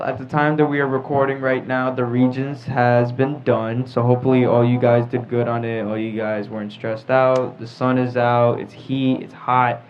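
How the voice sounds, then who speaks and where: A teenage or young adult American man hosting a casual group chat show. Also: mid-range voice. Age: teens or young adult. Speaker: host of a teen talk show. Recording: dull lo-fi audio with clear background noise.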